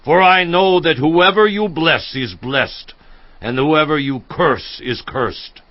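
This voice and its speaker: A man's voice: fairly high pitch, slow pace, dry recording. An actor playing a fearful, urgent ancient king in a dramatized audio Bible, pleading in declamatory tones. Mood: urgent, anxious. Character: Balak, fearful, urgent male king of Moab. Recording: dry, slightly dull studio audio.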